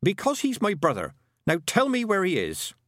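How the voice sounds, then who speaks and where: A man voicing a sharp, practical young girl in a storybook, with a brisk, blunt manner. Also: sits high, wildly swooping pitch, no echo, clean studio sound, voice pushed up, exaggerated voice. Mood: sharp, impatient.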